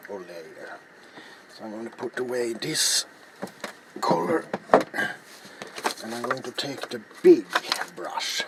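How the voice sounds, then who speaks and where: Slow, low-voiced man narrating crafts. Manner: rambling, halting, repeats words. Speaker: host of a craft video channel. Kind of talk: casual hushed ASMR craft demonstration. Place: small cluttered indoor workshop. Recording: noisy room ambience.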